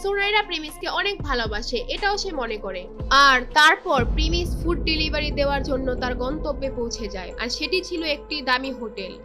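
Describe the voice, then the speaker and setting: A woman's voice: high voice, animated, fairly quick, music in the background. A woman narrating a scripted story in a dramatic style.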